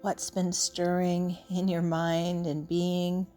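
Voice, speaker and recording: A woman leading an unscripted guided meditation in a soothing, gentle, unhurried way with long pauses. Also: on the low side, monotone. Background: soft background music.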